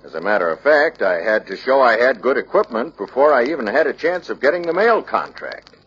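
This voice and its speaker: A man's voice: mid-range voice, big pitch swings, fairly quick, fairly bright tone, breathy edge. An actor playing a businessman and reluctant truck buyer in a scripted training film, conversational and explanatory. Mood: politely declining. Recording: dull lo-fi old training film soundtrack.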